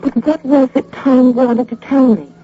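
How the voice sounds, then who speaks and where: An actress playing a confused young widow in an old radio drama, plain-spoken and full of questions. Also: female voice, high-pitched, steady tone, measured pace. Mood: bewildered. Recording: muffled, noisy radio drama recording.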